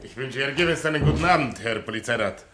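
An actor playing an obsequious, nervous little informant in a German radio comedy, rambling and eager. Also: male voice, mid-range voice, expressive, fast, background noise, natural voice. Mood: fawning. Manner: fawning, rambling, emphatic.

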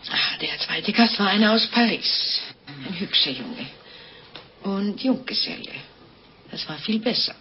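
An actress in a 1950s German radio drama playing a French innkeeper's wife who confesses murders in a calm, guileless, chatty way. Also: female voice, medium pitch, unhurried, pauses often, natural voice. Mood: matter-of-fact, reminiscing. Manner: matter-of-fact, guileless, chatty. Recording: dull, noisy old radio recording.

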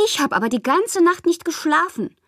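An actress playing a passionate, capricious bohemian woman in a literary radio drama, theatrical and intimate, with a breathy voice. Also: extremely high pitch, expressive, moderate pace, fairly bright tone, dry recording, clean studio sound. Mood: restless, capricious. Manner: theatrical, intimate, often dropping to breathy murmurs. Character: dreamy, passionate, capricious bohemian woman writing love-struck letters.

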